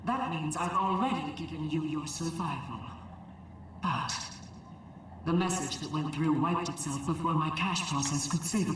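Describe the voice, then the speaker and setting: Casting: actress as weary, coldly clinical female game AI, deliberate and guarded, heard distant in a long reverb. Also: fairly low pitch, slight background noise, lightly acted. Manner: clipped system announcements, deliberate and dry. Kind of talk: video game cutscene acting. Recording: very echoey, distant, music behind.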